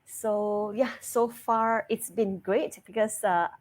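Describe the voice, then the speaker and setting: A woman in her thirties from Singapore with a Singaporean English accent, a guest chatting openly in an interview over a video call, often breaking into soft laughs mid-sentence. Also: mid-range voice, slow pace. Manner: laughs lightly mid-sentence, relaxed and open. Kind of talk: casual interview chat.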